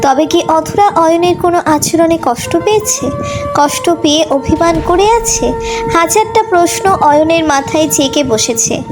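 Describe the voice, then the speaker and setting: A woman's voice: very high voice, expressive, unhurried. A woman storyteller reading a scripted romantic story as narration. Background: background music.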